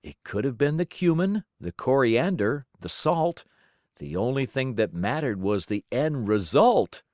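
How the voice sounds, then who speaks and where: A woman reading a children's rhyming storybook aloud in a theatrical, deliberate, rhythmic way. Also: a bass voice, big pitch swings, warm tone, no room sound, studio quality. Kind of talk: expressive children's storybook narration.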